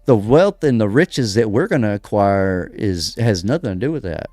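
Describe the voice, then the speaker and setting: An American man preaches in a lively, swinging voice, firing off rhetorical questions with drawn-out pauses. His voice is close and dry, with faint music underneath. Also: fairly low pitch, no room sound. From United States. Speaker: podcast host. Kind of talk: preaching-style religious commentary.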